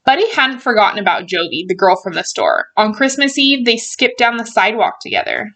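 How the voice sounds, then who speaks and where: Female storybook reader, medium pitch, expressive narration, emphatic drawn-out words, read-aloud for children. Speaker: teacher reading a storybook aloud.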